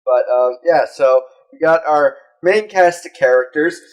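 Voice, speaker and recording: A man's voice: on the high side, a little slow, rough recording. Young guy rambling on a podcast, crude jokes, lots of uh and like. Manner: rambling, crude, frequent fillers and laughs. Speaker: podcast co-host. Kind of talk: casual comedic chat.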